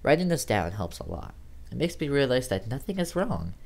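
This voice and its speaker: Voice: mid-range voice, very animated, fairly quick, creaky, darkish tone. Amateur narrator reading a horror story. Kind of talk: scripted first-person horror narration.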